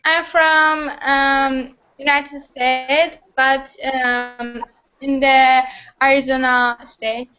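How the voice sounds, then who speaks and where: A woman from Iran, a native Persian speaker, in an English class, answering haltingly with many fillers over a video call. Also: high-pitched, level tone, clean tone. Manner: hesitant learner, halting with fillers. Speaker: student. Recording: muffled video-call audio.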